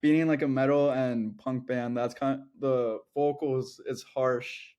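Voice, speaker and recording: A young male co-host with a mid-range voice who laughs easily and asks long, wandering questions in a relaxed, casual chat. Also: medium pitch, a little slow, smooth. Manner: giggly, asks rambling questions.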